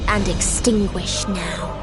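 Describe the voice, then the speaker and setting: A woman's voice: lowish voice, fairly even intonation, slow, poor audio. A woman voicing an ominous, sorrow-weary celestial being with cold serenity in a dramatic video game. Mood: mournful, cold. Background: loud music.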